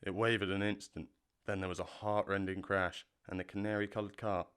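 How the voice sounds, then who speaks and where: A man narrating a classic children's story with measured, expressive delivery on a close mic. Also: deep voice, smooth, dry recording. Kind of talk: audiobook narration.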